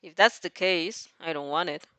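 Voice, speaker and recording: A woman's voice: fairly low pitch, moderate pace, pushed and bright, smooth, dry recording, pitched below the performer's own voice. A woman puts on a young male villain from a storybook, a suspicious lone wolf. He talks in a teasing drawl, close and dry.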